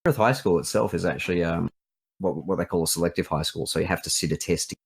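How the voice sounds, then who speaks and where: A man's voice: deep voice, fast talker, creaky, husky. An Australian man chatting casually as a game writer and developer, hesitant and thinking aloud. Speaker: visual novel writer and developer.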